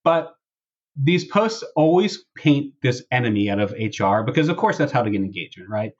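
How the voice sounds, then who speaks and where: A man with a husky voice hosting a casual podcast, chatting and reading posts aloud in a wry, sarcastic way. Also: medium pitch, very animated, normal speed, highs cut off. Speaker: podcast host.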